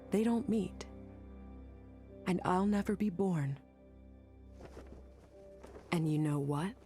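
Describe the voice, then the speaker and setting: Low-voiced resolute young soldier. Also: female voice. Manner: clipped, earnest. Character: resolute young woman soldier, devoted tough daughter. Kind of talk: dramatic video game monologue.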